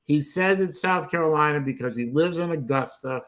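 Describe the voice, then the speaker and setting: A man's voice: fairly high pitch, fairly quick, fairly bright tone. A middle-aged man from Florida hosting a casual livestream chat, blunt and rambling, swearing freely. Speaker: livestream host.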